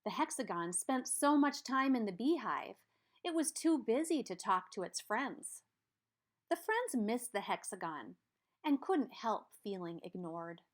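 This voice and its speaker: Slow, animated woman reading a storybook. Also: fairly high pitch. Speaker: storybook reader. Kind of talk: expressive children's read-aloud narration.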